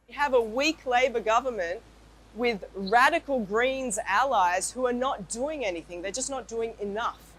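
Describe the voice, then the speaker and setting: A woman's voice: a little high, normal speed, a bit bright, low-quality recording. A woman interviewed outdoors on a city street, talking earnestly and hesitantly. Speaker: interviewee, campaigner.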